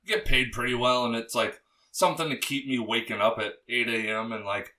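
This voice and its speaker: A young man with a low, bassy voice talks loosely as a podcast guest, piling on fillers and casual swearing. His tone is bright and forceful. He sits a little back from the microphone. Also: steady tone, fairly quick, far from the mic. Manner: rambling, full of fillers and swearing. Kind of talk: casual interview chat.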